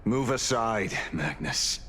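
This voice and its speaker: Low, weary, grim detective. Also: male voice, animated, slow, fairly bright tone, slight background noise. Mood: cold resolve. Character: world-weary middle-aged male detective, grim and resigned. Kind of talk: dramatic game voice acting. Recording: music behind the voice.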